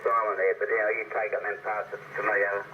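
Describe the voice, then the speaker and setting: Loud static, thin radio band, man, low-key net chat. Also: little pitch movement, rapid-fire, highs cut off. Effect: radio transmission. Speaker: amateur radio operator.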